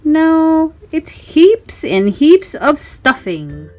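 High, animated woman reading a storybook. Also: drawn-out words, soft background music. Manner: expressive, sing-song questions and exclamations. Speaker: storytime read-aloud host. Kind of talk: animated picture book read-aloud for children.

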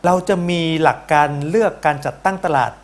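A man's voice: fairly high pitch, brisk, soft background music. A middle-aged man hosting a current-affairs interview in a TV studio, speaking politely. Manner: polite, frequent backchannel acknowledgements. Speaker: TV programme host, interviewer.

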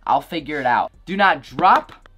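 A man's voice: fairly high pitch, lively intonation, unhurried, sharp tone, faint music behind. A young man hosting a casual product review vlog from his bedroom couch, chatty and jokey, full of "like" and "go ahead". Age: young adult. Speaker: tech reviewer, channel host.

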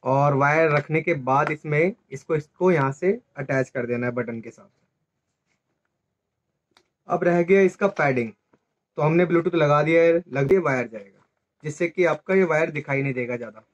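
A man's voice: a little high, normal speed, muffled recording. A man giving a hands-on tutorial, explaining step by step in a small indoor room. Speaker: presenter of a motorcycle gear channel. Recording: faint background noise with clicks.

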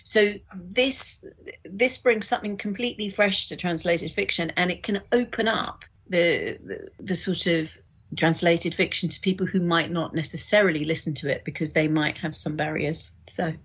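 Woman, slightly husky, explanatory, conversational, podcast guest. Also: lowish voice, moderate pace. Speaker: audiobook producer, podcast guest. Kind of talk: casual interview discussion.

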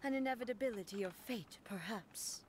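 Actress as a solemn, regal warrior goddess, noisy capture. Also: female voice, a little high, natural voice. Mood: solemn resolve. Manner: grave, formal, measured. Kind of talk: video game voice acting. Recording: game audio captured on a noisy livestream.

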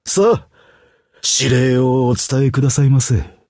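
An actor voicing a suave, poetic masked fox phantom thief in a video game, with flowery, courtly, dramatic phrasing. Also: male voice, mid-range voice, completely flat delivery, measured pace, darkish tone, no echo, studio quality. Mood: courtly, amused. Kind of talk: stylized Japanese game character voice acting.

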